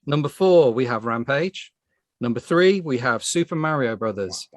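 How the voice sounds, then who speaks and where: A man's voice: mid-range voice, animated, normal speed, no room sound. A man hosting a casual panel chat, a little hesitant and often repeating his words. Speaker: podcast host.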